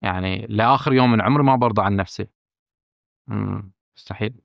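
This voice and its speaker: A man with a Bahraini accent, a film director speaking candidly as a podcast guest, emphatic and earnest, often pausing on fillers and restarting. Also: lowish voice, vocal fry, no room sound, dull audio. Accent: Bahraini Arabic. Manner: earnest and emphatic, stressing his frankness, with hesitant fillers and restarts.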